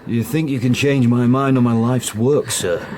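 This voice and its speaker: An actor playing an ambitious, stubborn explorer, formal and earnest in an audio drama. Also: male voice, mid-range voice, moderate pace, a little mellow, slight background noise, lightly acted. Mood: concerned, challenging. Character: ambitious, stubborn male explorer, respectful but proud.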